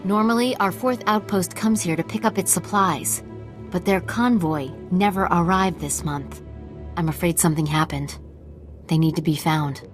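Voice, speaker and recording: A woman playing a principled army officer in a game drama. Her voice is on the low side for a female, direct and businesslike. Quiet music plays underneath. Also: moderate pace, natural voice. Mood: grateful, concerned. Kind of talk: dramatic video game acting.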